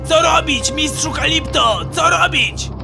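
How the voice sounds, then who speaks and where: Desperate fugitive male actor: very high, creaky, pleading cries for fantasy radio play, music underneath. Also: measured pace, exaggerated voice. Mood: panicked. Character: panicking, desperate fugitive follower of a priest. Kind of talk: fantasy audio drama acting.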